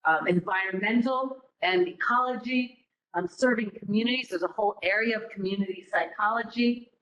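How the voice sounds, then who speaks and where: Woman, middle-aged, program director, classroom talk, rhetorical questions, no mic, medium pitch. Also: brisk, fairly bright tone, low-quality recording. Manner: conversational, encouraging, with rhetorical questions and fillers. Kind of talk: informal presentation to students. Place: classroom with a live audience. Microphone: no mic on, room recording.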